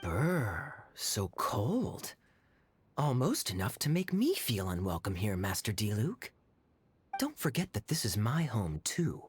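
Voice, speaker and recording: Wry young game captain, suave, lively intonation, slight noise behind. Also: male voice, lowish voice, slow. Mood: teasing. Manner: smooth, wry, mock-wounded. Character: suave, sly, theatrical young cavalry captain.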